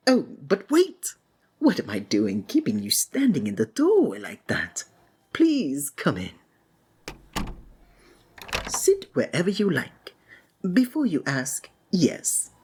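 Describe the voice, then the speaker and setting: A woman playing a flamboyant, courtly and sinister stage magician in a theatrical ASMR roleplay, with flowery, drawn-out, flattering phrasing. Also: on the low side, breathy edge, exaggerated voice. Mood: excited, effusive, then genial, courtly.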